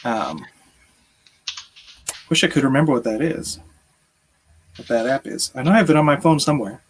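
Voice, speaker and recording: A man's voice: mid-range voice, expressive, moderate pace, a little hiss or hum. A man in his thirties hosting a casual live stream from home in a jovial, chatty way. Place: small room at home, video call.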